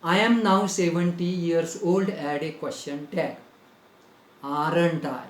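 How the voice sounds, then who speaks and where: A man's voice: on the high side, fairly even intonation, slow pace, echoey room, low-quality recording. A man with an Indian English accent teaching a grammar lesson. He repeats phrases for dictation and spells words out letter by letter.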